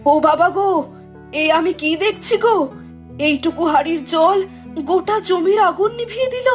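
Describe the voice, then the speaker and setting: An emotional young village wife, voiced by a woman speaks in a high, swooping voice. She exclaims freely and with feeling. Faint music plays behind her in this animated storybook. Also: normal speed. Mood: astonished. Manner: exclaiming, expressive.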